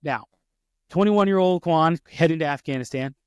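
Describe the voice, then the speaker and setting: A man's voice: on the high side, moderate pace, clear, resonant tone, no echo, muffled recording. Storytelling male podcast host, dry sound. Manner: storytelling, frequent restarts and self-corrections. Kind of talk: casual podcast conversation.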